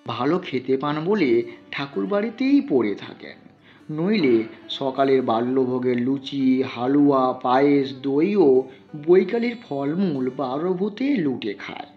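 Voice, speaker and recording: Audio story narrator, unhurried, very lively pitch, dull lo-fi sound, guitar music behind. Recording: dull lo-fi recording. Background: faint plucked guitar music.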